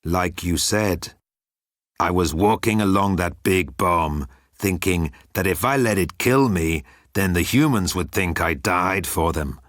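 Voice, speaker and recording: A man voicing a slow, ponderous thunder god. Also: low voice, fairly bright tone, dry recording, studio quality. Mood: earnest, pleased. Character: big slow-witted hairy thunder god.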